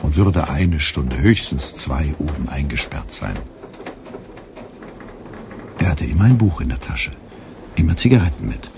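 An actor narrating a literary satire in a measured way for a radio play. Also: male voice, very deep voice, big pitch swings, creaky, noisy background, full character voice.

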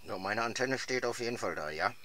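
A man's voice: lowish voice, animated, a little fast, heavy background noise. A man chatting casually as a radio amateur over a radio link. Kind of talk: casual two-way radio chat.

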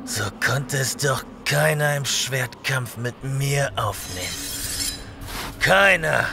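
An actor playing a proud, weary young barbarian warrior in an audio drama, speaking in a heavy, declamatory way. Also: male voice, mid-range voice, vocal fry, background noise. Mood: proud, defiant.

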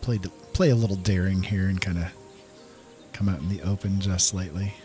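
Male gaming host, low register, casual running commentary over clear background music. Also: fairly even intonation, normal speed, dark tone. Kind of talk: casual let's play commentary. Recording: game music behind voice. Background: game music and outdoor nature sounds.

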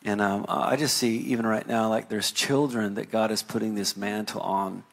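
A man's voice: lowish voice, steady tone, moderate pace, creaky. A man speaking as a worship guest in an interview, searching for words with stammered restarts. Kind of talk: conversational ministry interview.

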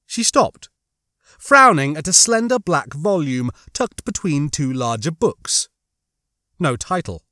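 Lively, higher-pitched male audiobook narrator. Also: dry recording, clean studio sound. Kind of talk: scripted dramatic fiction narration.

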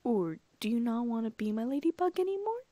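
A woman's voice: fairly high pitch, sing-song, fairly quick, mellow, no room sound, voice pushed up. A woman voicing a playful, doting inventor father who teases his young daughter. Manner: playful, teasing.

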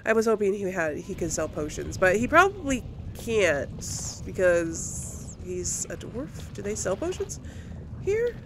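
A woman doing casual gameplay commentary. She thinks aloud chattily, with lively pitch and frequent fry, over faint background noise. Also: a little slow. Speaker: let's play host.

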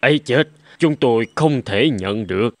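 A man's voice: medium pitch, expressive, normal speed, lightly acted. A man voicing an ailing, anxious older father in a cartoon, speaking in a weary, plaintive way. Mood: humble. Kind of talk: animated film dubbing. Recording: music clearly behind the voice.

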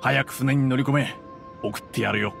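A man voicing a gruff, blunt boatman in a video game, with rough, casual, curt speech. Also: medium pitch, fairly even intonation, normal speed, fairly bright tone. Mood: brusque, matter-of-fact. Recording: music behind the voice.

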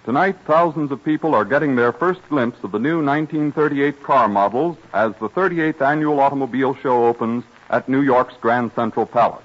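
A man's voice: medium pitch, normal speed, few pauses, highs cut off. A man reading a scripted sponsor message as a 1930s radio announcer, formal and polished, on a vintage recording.

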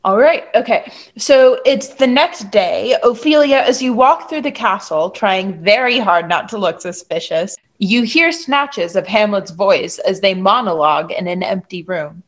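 A woman's voice: mid-range voice, unhurried, hardly any pauses, no room sound. A woman acting as game master, narrating an improvised tabletop story in a casual style.

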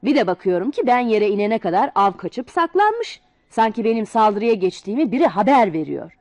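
A woman voicing an angry, suspicious male eagle chief in a storybook tale, blustering and shouting. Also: medium pitch, sing-song, a little fast, dry recording.

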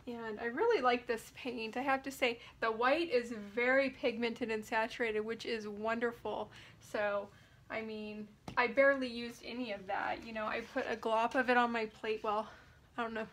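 Bright, high-pitched woman chatting casually. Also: fairly even intonation, moderate pace. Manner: informal, thinking aloud. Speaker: DIY furniture painting vlogger. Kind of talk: casual tutorial chat while working. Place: indoor home workspace.

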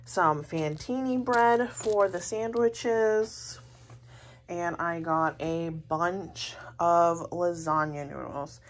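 A woman's voice: on the low side, very slow. A young American woman narrating a casual vlog in a chatty, rambling way. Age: young adult. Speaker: vlogger. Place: indoors at home, kitchen table. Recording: clear background noise, handheld home recording.

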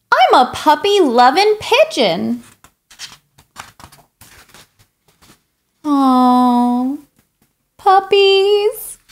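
Woman acting a high, melodramatic, pleading pigeon. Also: fairly bright tone, heavily acted. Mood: eager pleading. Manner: dramatic, whiny, exclamatory. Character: excitable, pleading, melodramatic young pigeon wanting its way. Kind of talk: children's picture book read-aloud.

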